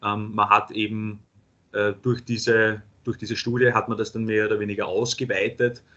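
Austrian man giving a halting webinar talk. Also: fairly low pitch, level tone, a bit bright. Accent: Austrian German. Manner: halting, many filler sounds. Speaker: paramedic lecturer. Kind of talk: webinar presentation. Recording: dull lo-fi webinar audio.

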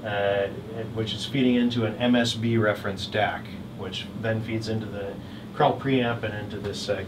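A middle-aged man casually presenting products as a dealer, with a halting, hesitant delivery. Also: fairly low pitch. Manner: halting, hesitant delivery with frequent long pauses. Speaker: hi-fi dealer exhibiting at a trade show. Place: hotel room at an audio show, indoors. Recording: distant mic, clearly noisy room.

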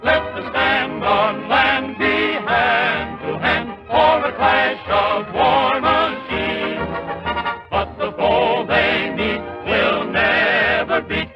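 A woman's voice: on the high side, extremely slow, pauses often, lo-fi sound. A woman singing in a 1940s patriotic style on an old recording with music.

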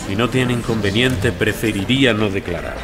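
An actor playing a mild, solemn, courteous gentleman in an audio drama. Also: lowish voice, moderate pace, over music, natural voice. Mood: reluctant, solemn. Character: mild, pious-sounding gentleman, outwardly reluctant witness.

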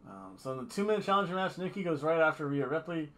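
A man's voice: on the high side, fast talker, fairly bright tone. A middle-aged man giving casual, rambling, jokey commentary as a review host, recorded at a webcam in a home room. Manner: jokey, rambling, slips into mock accents. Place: small home room indoors.

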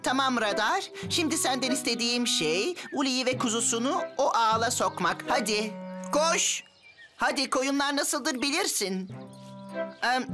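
A cheeky young boy in a children's cartoon. The voice sits high and sounds cocky and boastful, always persuading someone of a grand plan. Music plays clearly underneath. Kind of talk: animated children's cartoon dub.

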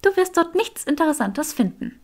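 A woman voicing a clever, stubborn young witch in an audiobook, curt and sharp-tongued. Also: sits high, very animated, normal speed, voice pushed up.

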